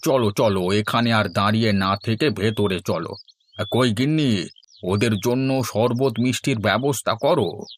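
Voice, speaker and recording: Low-voiced genial older father-in-law acted by a man. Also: a little slow, a little hiss or hum, natural voice. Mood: hospitable, coaxing. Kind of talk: Bengali animated folk-tale dialogue. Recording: music under the voice. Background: music.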